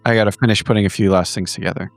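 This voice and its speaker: Casting: man as a friendly, apologetic woman in a tabletop roleplay, halting and casual, low voice over music. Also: fairly even intonation, no room sound, natural voice. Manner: halting, casual phone talk. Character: woman named Heather, hesitant, friendly, asking a favour.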